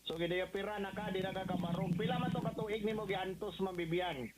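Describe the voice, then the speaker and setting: A man's voice: sits high, fairly even intonation, brisk. A man with a Visayan accent hosting a casual testimonial interview, often echoing back what he is told. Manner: repeats caller's answers. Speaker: radio interviewer. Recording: very noisy radio reception.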